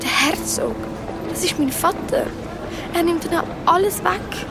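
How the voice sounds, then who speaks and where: A woman's voice: very high-pitched, measured pace, over loud music. A woman with a Swiss accent playing an anxious, kind-hearted young runaway duchess in a children's audio drama. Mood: dismayed.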